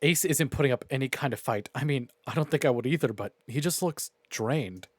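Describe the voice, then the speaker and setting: A man streaming a visual novel, reading it aloud and reacting in an expressive, sweary way. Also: mid-range voice, fairly quick, dry recording, clean studio sound. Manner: expressive, profane. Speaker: game streamer.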